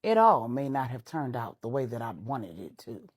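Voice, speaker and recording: A woman voicing a proud, dignified grown man in a told story, measured and resolute. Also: extremely low pitch, sing-song, breathy edge, voice pushed down, exaggerated voice. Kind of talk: audio storytelling dialogue.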